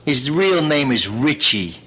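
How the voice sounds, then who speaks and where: Radio mystery jailbird: mid-pitched, animated, cagey small-time thug with curt wisecracks. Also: male voice, slow pace, husky, exaggerated voice. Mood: cagey, sneering. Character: cagey, cocky male small-time hoodlum in jail. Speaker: actor playing a jailed crook. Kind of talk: old-time radio drama acting.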